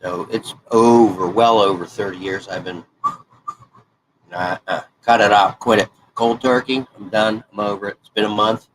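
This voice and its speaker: A man's voice: on the low side, fairly even intonation, normal speed, fairly bright tone, a little hiss or hum, poor audio. Congested folksy man in his forties. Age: 40s. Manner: folksy, rambling, self-correcting. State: congested, stuffed-up nose. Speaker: hobby crafting vlogger. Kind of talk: casual rambling chat while crafting.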